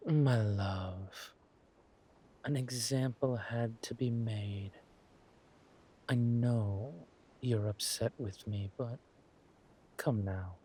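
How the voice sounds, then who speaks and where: Man playing a very slow, suave villain king. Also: mid-range voice, warm tone, a little hiss or hum. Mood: coaxing, grave. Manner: slow, halting, purring hums and teasing drawl. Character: suave, ruthless villain king, affectionate with his wife. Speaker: roleplay audio performer. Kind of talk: villain roleplay audio drama, intimate monologue to a partner.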